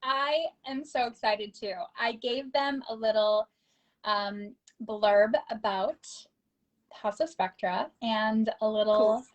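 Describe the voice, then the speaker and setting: A woman's voice: fairly high pitch, steady tone, takes it slowly. A woman hosting an interview over a video call, enthusiastic and effusive with her guest. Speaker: show host, interviewer. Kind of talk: casual interview chat.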